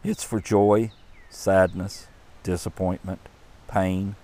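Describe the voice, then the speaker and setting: A man voicing the Lord in a storybook poem: a tireless male creator, earnest and proud, read in an unhurried, earnest way. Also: low-pitched, level tone, mellow.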